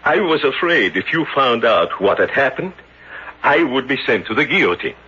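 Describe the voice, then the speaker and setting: A remorseful, frightened man pleading his case in a radio mystery. His voice is bright and tense but badly roughened, swinging widely in pitch. The vintage recording sounds dull. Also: very animated, normal speed, exaggerated voice. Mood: desperate pleading. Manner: pleading, self-justifying, dramatic. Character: frightened, remorseful French man confessing over his escaped ape. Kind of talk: radio drama acting.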